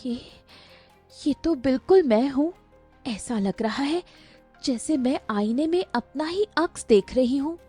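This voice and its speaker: An earnest young village woman in a cartoon folk tale, acted by a woman narrator, pleads and questions with lively pitch swings. The voice is mellow, over faint music. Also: measured pace, breathy edge, exaggerated voice. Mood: astonished.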